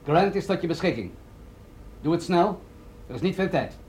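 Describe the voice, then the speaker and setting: An actor playing an authoritative, insistent military general in a radio drama, with a measured, persuasive delivery. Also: male voice, a little high, expressive, noisy background, close to an everyday voice. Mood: businesslike. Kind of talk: Dutch radio drama acting.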